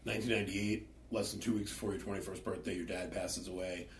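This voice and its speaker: Low-voiced new york guy firing off quick interview questions, far from the mic, echoey room with faint hum. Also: fairly even intonation. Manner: brisk, leading questions.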